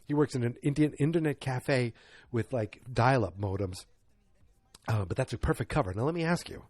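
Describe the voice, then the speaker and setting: Husky, rambling podcast host. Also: male voice, mid-range voice, a little mellow, dry recording. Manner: rambling, sarcastic, self-interrupting. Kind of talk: casual solo movie review.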